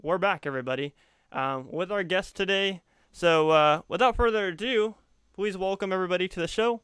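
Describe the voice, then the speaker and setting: A young man hosting a casual video-call show, upbeat and quick to laugh. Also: high voice, a bit bright, clear, resonant tone, soft background music. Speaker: podcast host. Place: home room, video call. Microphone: studio condenser mic on stand.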